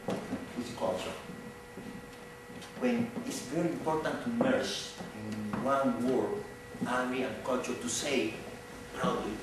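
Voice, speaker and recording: A man's voice: medium pitch, distant mic, background noise. Cuban-accented man lecturing in an echoey hall. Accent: Cuban Spanish. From Cuba. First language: Spanish. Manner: earnest, searching for words, repeats phrases. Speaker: visiting speaker. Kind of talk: public lecture.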